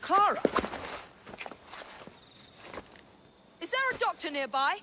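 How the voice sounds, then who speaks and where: An actress playing a practical young adventurer in a video game, with an English accent and a crisp, direct manner. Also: female voice, extremely high pitch, expressive, fast, pushed and bright, slight background noise, heavily acted. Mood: concerned. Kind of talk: video game cutscene acting.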